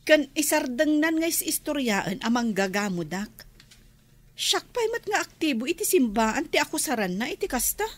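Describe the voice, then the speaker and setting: A woman's voice: high-pitched, very animated, normal speed. An actress playing a wronged, offended churchgoing woman, firm and aggrieved, in a radio drama. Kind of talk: Filipino radio drama acting.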